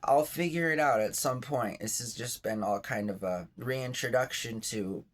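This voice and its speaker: A man in his thirties rambles through a laid-back home vlog, chatty and self-mocking, drifting into asides as he goes. His voice sits at an ordinary middle pitch for a man, and he sounds like he is talking from bed just after waking up. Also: moderate pace. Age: 30s. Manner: chatty and self-deprecating, many fillers and asides. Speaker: vlogger, channel host. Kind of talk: rambling casual vlog monologue.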